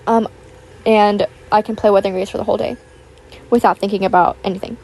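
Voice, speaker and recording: A young woman, raspy from just waking up, rambling chattily over a voiceover and recorded at home on a built-in tablet mic. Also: medium pitch, steady tone, quick pace, darkish tone, low-quality recording. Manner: rambling, filler-heavy, chatty. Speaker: YouTuber. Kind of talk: casual chat voiceover. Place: home, with household noise. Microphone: built-in tablet microphone.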